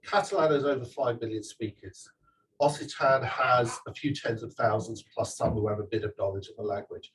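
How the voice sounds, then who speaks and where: A man's voice: mid-range voice, fairly even intonation, fairly quick, distant mic, poor audio. A man, the author, talking casually at a live event, chatty and digressive with many restarts and fillers. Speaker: author giving a talk. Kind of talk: live talk and audience Q&A. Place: event venue with audience.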